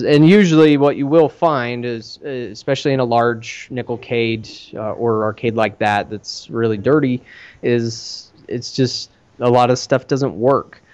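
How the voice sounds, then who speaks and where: A man's voice: medium pitch, darkish tone, highs cut off. A man talking casually as a podcast host who runs an arcade.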